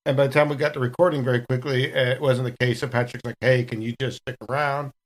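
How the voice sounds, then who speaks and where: A man's voice: mid-range voice, steady tone, quick pace. A man on a podcast, chatting casually and recounting anecdotes as one of the cast. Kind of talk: casual chat, telling anecdotes.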